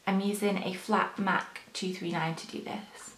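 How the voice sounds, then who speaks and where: A young woman with a slightly hoarse morning voice, chatting casually through her makeup routine as a beauty vlogger. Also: mid-range voice, steady tone, measured pace, reverberant, a little hiss or hum. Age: young adult. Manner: chatty, rambling, frequent ums. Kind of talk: casual chat while applying makeup.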